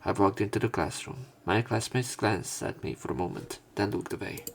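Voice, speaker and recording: A man's voice: fairly low pitch, animated, moderate pace, gravelly, slight background noise. A man reading visual novel narration aloud in a subdued way, as a let's player.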